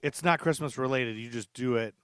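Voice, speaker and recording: A man hosting a casual podcast, chatting loosely and asking curious questions of his guests. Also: mid-range voice. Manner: relaxed, chatty, asks curious questions with quick backchannels. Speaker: podcast host. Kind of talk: casual chat.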